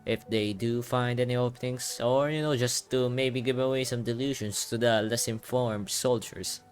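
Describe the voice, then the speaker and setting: A man's voice: medium pitch, fairly even intonation, moderate pace. A young man giving casual, slangy gameplay commentary as the host of a playthrough. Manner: slangy, offhand. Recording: game music behind.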